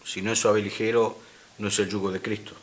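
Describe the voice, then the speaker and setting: A man's voice: on the low side, level tone, brisk. A man with an Argentine accent, a guest speaker, explaining his teaching in an earnest way in a studio interview. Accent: Argentine Spanish. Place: radio studio.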